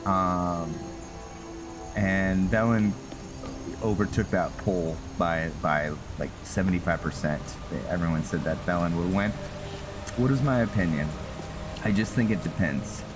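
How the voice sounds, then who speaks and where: A man with a low voice commentates on a game as he plays. He speaks slowly and haltingly, thinking aloud as he goes, with clear music behind him. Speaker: gaming video host, player. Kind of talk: unscripted game commentary, roleplay musing.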